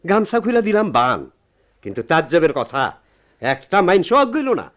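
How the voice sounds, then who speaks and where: An actor playing a steady, protective village friend in a Bengali radio drama, speaking urgently and expressively in a rural Bangladeshi dialect. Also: male voice, on the high side, normal speed. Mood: indignant.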